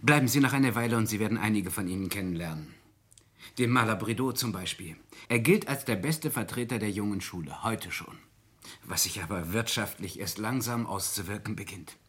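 An actor playing a warm, idealistic young male writer in a German radio drama, with a husky voice. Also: on the low side, a little fast. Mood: earnest, admiring.

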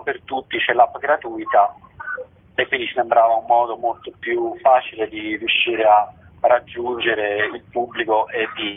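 A man's voice: mid-range voice, husky. A man explaining things informally and discursively in a radio interview as a festival organiser. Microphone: telephone. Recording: muffled, narrow-band telephone line.

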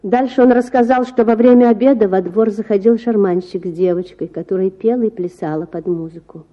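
A woman's voice: mid-range voice, brisk. Woman storyteller, slightly dull recording. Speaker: audiobook narrator. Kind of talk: expressive scripted narration of a children's story.

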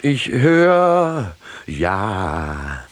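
A footsore, grumbling master, in a comic radio play. The voice is rough and husky, and it swings widely in pitch. The delivery is unhurried and theatrical. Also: male voice, wildly swooping pitch, exaggerated voice. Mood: grumpy. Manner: expansive grumbling with swooping emphasis. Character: footsore, grumbling master back from a journey to the heavens.

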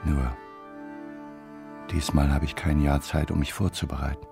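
Very deep, gravelly brooding killer. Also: male voice, level tone. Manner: slow, halting inner monologue. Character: obsessed killer, grave brooding man feeling death approach. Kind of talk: radio drama monologue. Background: cello and string music.

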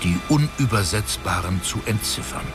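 An actor narrating a fantasy radio drama as a storyteller, with expressive, measured delivery. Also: male voice, fairly low pitch, natural voice. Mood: intriguing narration. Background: clear music.

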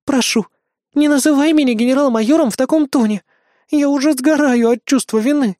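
A man's voice: very high-pitched, moderate pace, darkish tone, no echo, clean studio sound, voice pushed up. A man voicing a guilty young idol girl general. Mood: pleading. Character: young female idol who is a general, apologetic. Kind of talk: audiobook character voice.

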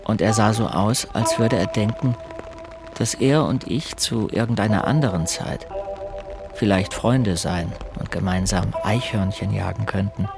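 An actor playing a male narrator who recalls his rural boyhood in measured, observant literary storytelling for an audio drama. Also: lowish voice, creaky, music in the background. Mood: reflective.

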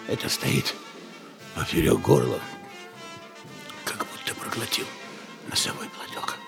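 Gravelly, proud, bitter father. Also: on the low side, wildly swooping pitch, unhurried, over music, full character voice. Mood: bitter, defeated. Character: proud, bitter, humiliated father refusing to yield. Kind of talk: theatrical radio play acting.

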